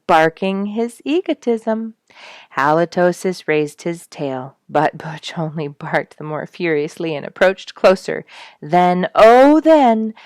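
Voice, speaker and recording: A woman reading a nature story aloud as a storyteller, with expressive narration and deliberate, dramatic emphasis. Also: on the low side, no echo, clean studio sound. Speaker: storyteller reading an audiobook chapter.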